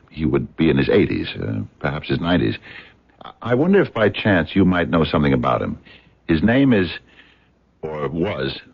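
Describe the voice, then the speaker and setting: An actor playing an earnest, courteous American physicist in a radio mystery, speaking in a hesitant, wordy, stammering way. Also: male voice, a bass voice, vocal fry, slightly rough, heavily acted. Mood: hesitant, polite. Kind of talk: radio drama acting. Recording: dull, muffled radio drama recording.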